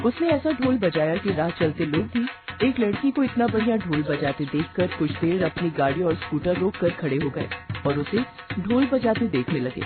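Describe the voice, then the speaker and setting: A woman's voice: lowish voice, phone-quality sound. A woman reading a scripted moral bedtime story as its narrator.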